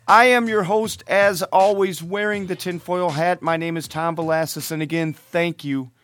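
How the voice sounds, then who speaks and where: A man hosting his own podcast in a chatty, ranting monologue, hoarse and losing his voice from a cold. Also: high-pitched, steady tone, moderate pace, a bit bright. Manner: ranting, chatty, with fillers and restarts. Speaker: podcast host.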